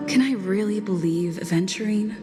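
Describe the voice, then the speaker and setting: Actress as young adventurer girl in a video game, doubtful inner monologue, music really loud behind her. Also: mid-range voice, moderate pace, natural voice. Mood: pensive, doubtful.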